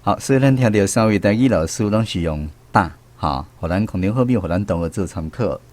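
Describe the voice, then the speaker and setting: Low-pitched Taiwanese man hosting radio. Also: animated, fairly quick, a little mellow. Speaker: host of a language quiz radio show. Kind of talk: radio hosting, addressing listeners and students.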